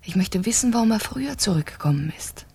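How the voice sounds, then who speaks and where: Radio thriller: cold plotting wife, husky and breathy, medium pitch, recorded dry. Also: female voice, lively intonation, quick pace, dry recording. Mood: tense, hushed. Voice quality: breathy, hushed. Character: cool, scheming young wife plotting murder. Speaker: actor in a radio crime drama. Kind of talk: 1970s German radio play acting.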